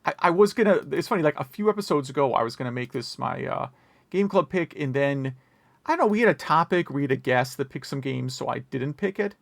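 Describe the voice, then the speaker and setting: A male podcast host with a creaky, gravel-edged voice at a middling pitch. He talks casually and rambles into asides, recorded dry and close. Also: expressive, a little fast, dry recording. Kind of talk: casual retro gaming news chat.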